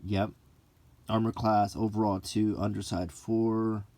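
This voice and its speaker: Slow, low-voiced middle-aged man, musing. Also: flat delivery, warm tone, no echo. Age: 40s to 50s. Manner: hesitant, musing, reminiscing. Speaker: host of a hobby video. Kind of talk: unintentional ASMR, casual unscripted book review.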